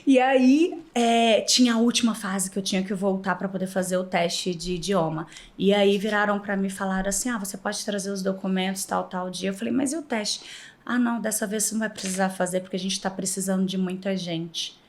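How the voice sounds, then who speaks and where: Brief: Mineiro woman, podcast guest, lively storyteller who laughs a lot and acts out old conversations, middle pitch. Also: brisk. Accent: Mineiro Brazilian Portuguese. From Minas Gerais, Brazil. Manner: animated, laughs often, acts out past dialogue. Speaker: podcast guest, former flight attendant. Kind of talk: casual interview storytelling.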